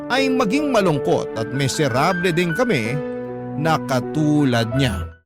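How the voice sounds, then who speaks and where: Higher-voiced plaintive letter narrator over music. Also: male voice, very animated, measured pace. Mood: troubled, confiding. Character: troubled adult child and parent narrating family woes in a letter. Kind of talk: first-person dramatized letter narration in radio drama. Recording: prominent music bed under voice.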